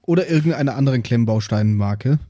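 A man's voice: medium pitch, expressive, a little fast, mellow. A man bantering casually and hesitantly as a player in a tabletop game. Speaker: player in tabletop audio play.

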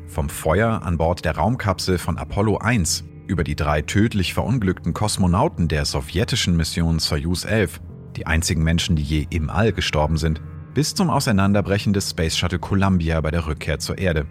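A man narrates a scripted science segment in a very low, measured voice. He explains events carefully. Soft music plays quietly underneath. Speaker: host and astrophysicist.

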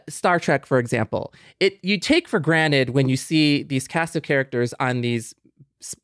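A man in a casual, reflective discussion, speaking haltingly as he works through his thoughts. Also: a little high, no echo, studio quality. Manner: halting, thinking aloud. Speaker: podcast co-host.

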